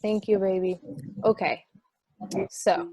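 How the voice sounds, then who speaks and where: A woman's voice: medium pitch, steady tone, slow, warm tone, no echo. A woman playing a playful, teasing long-married wife in an amateur table read of a play.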